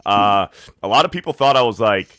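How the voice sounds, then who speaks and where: A man with a very deep voice, a podcast guest chatting casually and relaxed, often restarting his sentences. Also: a little fast, smooth, dry recording, clean studio sound. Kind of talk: casual chat.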